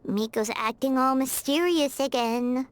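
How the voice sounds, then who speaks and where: A woman's voice: high voice, a little slow. A woman voicing a tiny, cheerful, childlike fairy companion in a video game, curious and eager, with chirpy delivery. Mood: puzzled. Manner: chirpy, exclaiming, refers to self in third person. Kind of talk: animated video game voice acting.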